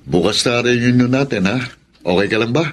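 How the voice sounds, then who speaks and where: A man's voice: medium pitch, normal speed, loud music behind the voice, close to an everyday voice. An actor in a Tagalog radio drama playing a chummy male friend who teases and prods. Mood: amused, teasing. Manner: chummy, teasing, prodding. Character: teasing, encouraging male friend of a lovesick man. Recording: crowd chatter behind.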